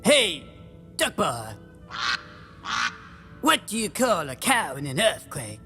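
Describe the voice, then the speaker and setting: A woman's voice: lowish voice, big pitch swings, slow pace, bright, forceful tone, soft background music, exaggerated voice. A woman voicing a hapless, self-pitying baguette robot, whiny and eager to please, in a comedy audio drama. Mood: cocky, joking.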